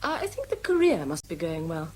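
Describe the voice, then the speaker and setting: Husky, wry secretary. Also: female voice, sits low, huge pitch swings, fairly quick, a little mellow, exaggerated voice. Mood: tart. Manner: tart, intimate, teasing. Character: wry, caring young female secretary. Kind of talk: radio drama dialogue.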